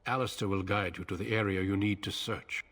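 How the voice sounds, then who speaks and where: An actor playing a grave, authoritative male commander in a video game, speaking in a measured, formal way. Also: fairly low pitch, steady tone, a bit bright. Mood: grave, instructing.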